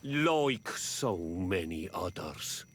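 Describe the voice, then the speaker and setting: An actor playing a calm, grave village elder in a video game, speaking in a measured, solemn way. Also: male voice, low-pitched, a little hiss or hum, full character voice. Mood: grave, gentle. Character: calm, grave native village elder, peace-seeking man. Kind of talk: video game cutscene acting.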